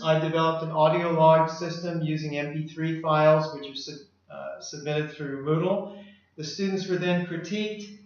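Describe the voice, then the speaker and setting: A middle-aged man, a language lecturer, walking through slides of his work in a measured way, often pausing on "uh". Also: a little high. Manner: measured, with frequent "uh" fillers. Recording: echoey room, dull lo-fi sound.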